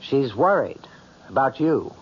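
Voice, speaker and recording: An actor playing a concerned friend in an old-time radio drama, questioning and gently reproachful. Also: male voice, mid-range voice, sing-song, a little slow. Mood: probing. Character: concerned, probing friend of the bridegroom. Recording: muffled old radio, faint noise.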